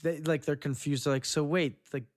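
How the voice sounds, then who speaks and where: A man's voice: on the high side, fairly even intonation, normal speed, no echo, studio quality. A man hosting a casual, joking film discussion and reading out trivia. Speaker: podcast host reading trivia.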